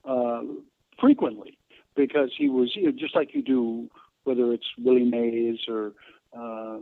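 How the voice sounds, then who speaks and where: An American man around seventy speaks in an interview over a narrow, phone-like line. His pitch swings in a lively way and his voice has a gravelly creak. He tells stories haltingly, pausing and wandering as he goes. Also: no room sound. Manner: halting, digressive, pausing mid-sentence. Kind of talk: conversational interview storytelling.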